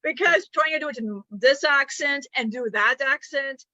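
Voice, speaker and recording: A woman's voice: high voice, normal speed, bright, forceful tone, no echo. A woman co-host speaking as a channeled being, rambling with frequent restarts in casual chat. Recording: dull lo-fi mic.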